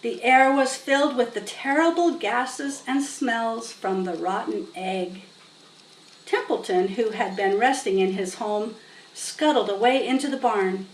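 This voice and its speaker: A woman's voice: on the high side, a little slow. A woman narrating a children's story expressively as a storybook reader. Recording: roomy, distant mic, faint noise.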